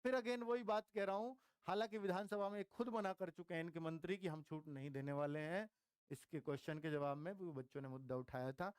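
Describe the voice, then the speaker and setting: A man's voice: on the high side, fairly quick, smooth, no echo, clean studio sound. A man, an exam coach explaining things informally to students and repeating key points for emphasis. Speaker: exam coach teaching online.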